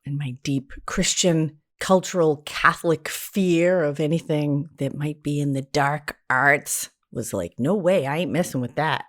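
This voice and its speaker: American lady with a low voice doing a chatty solo podcast, candid and kinda self-deprecating. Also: unhurried, hardly any pauses. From New England, United States. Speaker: podcast host, therapist and spiritual teacher. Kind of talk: conversational solo podcast monologue.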